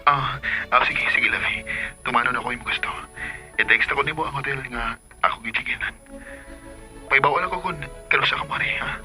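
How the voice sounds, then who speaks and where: A man's voice: medium pitch, fairly quick, long pauses, pushed and bright, low-quality recording. Music swells behind an ardent male lover in a radio serial. He pleads tenderly in a mid-range voice. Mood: eager, yielding. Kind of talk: radio drama acting.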